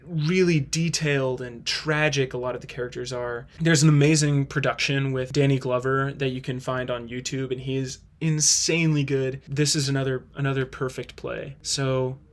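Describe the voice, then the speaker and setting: A man's voice: medium pitch, steady tone, moderate pace, hardly any pauses. A man hosting a video, giving earnest, casual, conversational commentary. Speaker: host of a play-ranking video.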